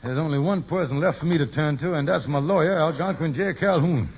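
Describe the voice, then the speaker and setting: A man's voice: fairly high pitch, brisk, a little mellow, close to an everyday voice. An actor playing a fretful, blustery schemer in an old radio sitcom, stammering as he plots in folksy grammar. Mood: worried. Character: scheming, fretful male lodge bigshot in trouble. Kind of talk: 1950s radio sitcom acting. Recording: muffled, noisy old radio recording.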